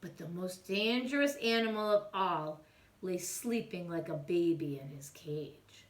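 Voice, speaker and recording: A woman reading a children's picture book aloud in a theatrical way, with hushed asides and exclamations. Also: on the low side, measured pace, roomy sound. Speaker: children's storytime reader.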